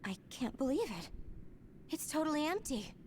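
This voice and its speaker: A woman's voice: high-pitched, big pitch swings, normal speed, darkish tone, slight background noise. A woman voicing a blunt, tech-minded squad member in an English video game dub. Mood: incredulous, blunt.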